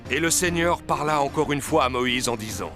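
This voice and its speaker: A man's voice: medium pitch, normal speed, sharp tone, soft background music. A man narrating a scripted Bible story as its storyteller.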